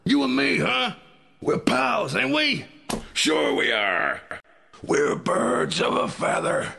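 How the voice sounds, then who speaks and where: A man voicing a seedy American huckster bird with a gravelly husky rasp, cajoling and menacing in slangy hard-sell patter for an audio drama. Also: very high-pitched, very animated, takes it slowly, sharp tone, a little hiss or hum, voice pushed up, full character voice. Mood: wheedling, desperate.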